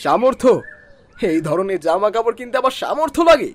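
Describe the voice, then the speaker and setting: Casting: scornful young cartoon son-in-law, sneering and hard to please, notably high, lively-pitched delivery. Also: male voice, fairly quick. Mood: sneering displeasure. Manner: sneering, condescending. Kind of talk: Bengali cartoon voice acting.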